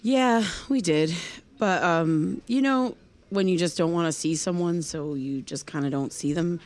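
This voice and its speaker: A woman playing an awkward, guarded young loner in naturalistic audio drama, speaking in a hesitant, halting way. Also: fairly low pitch, a little mellow, natural voice.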